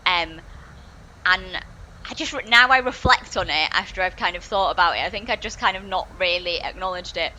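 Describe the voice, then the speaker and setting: A young woman with a softened Yorkshire accent talks casually on a podcast. Her tone is bright and forthright, pitched a bit low, and she restarts sentences as her thoughts come. A faint noise sits behind her. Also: brisk, low-quality recording. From Yorkshire, England. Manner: earnest, chatty, with many restarts. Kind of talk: casual discussion.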